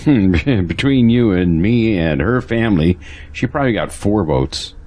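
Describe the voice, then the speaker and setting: Deep-voiced, resigned churchgoer. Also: male voice, lively intonation, a little slow, darkish tone. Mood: wry, resigned. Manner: matter-of-fact, pondering. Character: resigned, pragmatic churchgoing man. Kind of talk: audio drama acting.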